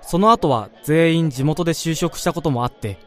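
A detached, low-key man in a radio drama. His voice sits a little high and stays understated, with faint music behind it. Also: lively intonation, moderate pace, a bit bright. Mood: reflective. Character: reserved, detached man, narrator among old school friends. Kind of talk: radio drama narration and dialogue.

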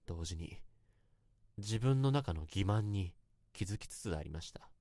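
A self-conscious young man makes a formal, earnest confession in a lowish voice. His delivery moves up and down expressively, and the sound is close and dry, as in a radio drama monologue. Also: animated, a little slow, mellow, no echo. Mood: remorseful.

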